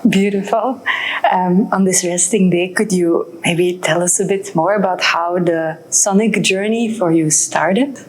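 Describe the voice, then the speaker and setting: A woman interviewing a guest, asking short, encouraging questions in a soft manner. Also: on the low side, a little slow, slight background noise, low-quality recording.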